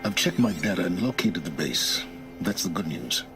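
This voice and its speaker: A low voice over clear music, as a cryptic middle-aged informant in a video game. He is imperious and measured, a schemer who gives orders and keeps secrets. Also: very animated. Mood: grave, explaining. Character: secretive, commanding male informant serving his own interests. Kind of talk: dramatic video game acting. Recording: music behind the voice.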